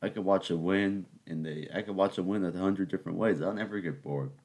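A man's voice: low voice, brisk, darkish tone. A man hosting his own podcast at home, talking in a rambling, sarcastic way and getting worked up. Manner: keeping voice down early on. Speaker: podcast host. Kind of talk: casual solo musing. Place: home, in the morning.

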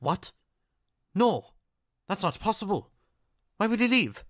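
A woman playing an excitable, shrunken shapeshifter character in an audio drama. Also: mid-range voice, takes it slowly, no room sound, clean studio sound. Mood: distressed.

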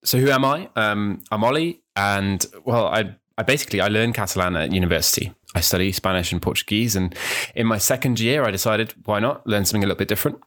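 A young man who grew up in New Zealand, hosting his own podcast in a relaxed, rambling way, with many ums and restarts. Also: lowish voice, quick pace. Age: young adult. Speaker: podcast host, language student. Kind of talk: casual solo podcast monologue.

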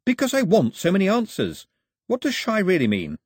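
A man performs a curious, literal-minded island boy from a storybook. The voice is lifted high with very mobile intonation, puzzled and sceptical, on a dry, close recording. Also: huge pitch swings, fairly quick, husky, no echo, exaggerated voice. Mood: puzzled, probing. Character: inquisitive, sceptical island boy full of questions. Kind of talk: storybook character dialogue.

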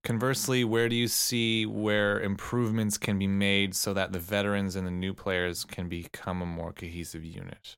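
A man's voice: fairly low pitch, little pitch movement, moderate pace, hardly any pauses, fairly bright tone, clear, resonant tone, no room sound, studio quality. A man co-hosting a sports podcast in an informal, slangy, conversational way. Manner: informal and slangy, with frequent "like" and "you know". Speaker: podcast co-host and soccer fan. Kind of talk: casual sports podcast chat.

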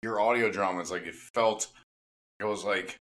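A man chatting casually and excitedly as an interviewer and fan, gushing and repeating himself for emphasis. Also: fairly low pitch, normal speed, very noisy.

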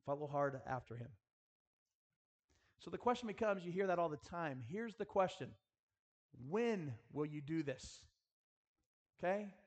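A man's voice: a little high, expressive, a little slow, pauses often, darkish tone. A man preaching earnestly in a school chapel style, exhorting his listeners and addressing them directly. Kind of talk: sermon with rhetorical questions and prayer.

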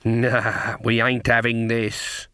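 A man voicing a sharp, stubborn old female witch with rustic, plain-spoken speech in a storybook reading. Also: mid-range voice, monotone, takes it slowly, clean tone, no room sound, studio quality. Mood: muttering, disapproving.

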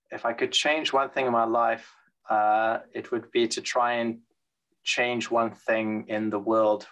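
A man answering interview questions in a thoughtful, measured way, with frequent fillers and restarts. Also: mid-range voice, a bit bright, low-quality recording. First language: English. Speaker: guest, transit expert. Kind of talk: interview conversation.

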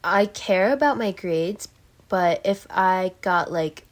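A woman's voice: fairly low pitch, slow pace. A teenage girl being interviewed as a podcast guest, rambling with many fillers and telling stories animatedly. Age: teens. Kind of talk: casual chat.

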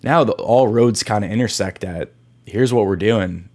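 Podcast host guy, lowish voice, smooth and upbeat intro read. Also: moderate pace, a little mellow. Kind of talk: scripted intro, then conversational questions.

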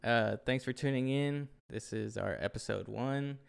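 A man's voice: medium pitch, a little slow, vocal fry, clean tone. A man in his twenties from Oklahoma, co-hosting a casual podcast with lots of ums and easy laughs. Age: 20s. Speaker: podcast co-host. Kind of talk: casual chat. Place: shoe room at home.

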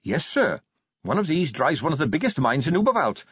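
An earnest, polite young captain of the watch, bright-voiced and eager to explain, as a man puts him on. His voice rises and falls freely, and the read sounds slightly dull. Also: a little high, very animated, fairly quick, breathy edge, no room sound, pitched above the performer's own voice, exaggerated voice.

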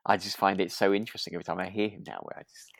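A man with a low voice, recorded very dry with little room sound. He co-hosts a relaxed chat podcast. He talks with gentle enthusiasm, hesitating and repeating words as he goes. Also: rapid-fire, vocal fry, no echo. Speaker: podcast co-host. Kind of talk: casual chat about a radio drama.

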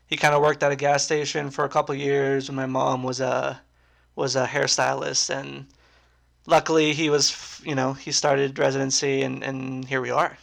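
A young man in his twenties hosting a casual podcast with friends, talking in a relaxed, rambling way with lots of "kinda" and fillers. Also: mid-range voice, moderate pace, gravelly. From United States. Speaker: podcast host.

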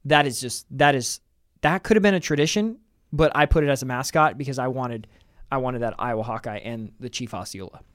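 A young man co-hosting a casual sports podcast, enthusiastic and quick to laugh, often repeating his words as he talks. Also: mid-range voice, a bit bright, no echo, clean studio sound. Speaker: podcast co-host. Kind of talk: casual chat about college football.

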